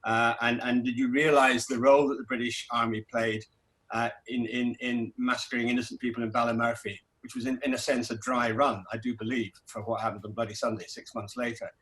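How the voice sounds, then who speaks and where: A middle-aged man who grew up in Scotland, a documentary director answering festival questions, talking in a halting way with many restarts. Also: medium pitch, flat delivery, fairly bright tone, rough recording. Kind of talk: festival Q&A interview.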